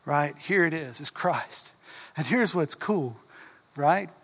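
Folksy preacher in a reverberant church. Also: male voice, a little high, slow pace, husky. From Texas. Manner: folksy, animated, drops to a whisper for emphasis. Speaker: pastor. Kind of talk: sermon.

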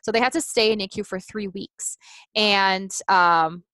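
A woman in her thirties, a native Portuguese speaker, telling her story as a guest in a casual interview. She speaks earnestly and thinks aloud, restarting phrases and filling with "you know." Her voice sits at an everyday mid pitch and sounds dry and close, with no room around it. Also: steady tone, unhurried, bright, forceful tone, no echo, poor audio. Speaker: life coach guest.

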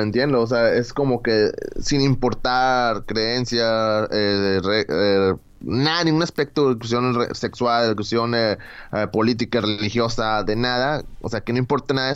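A man co-hosts a casual sports chat, hesitant and full of fillers as he thinks out loud. His voice sits at a middle pitch. The recording sounds a little dull. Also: clean tone. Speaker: co-host.

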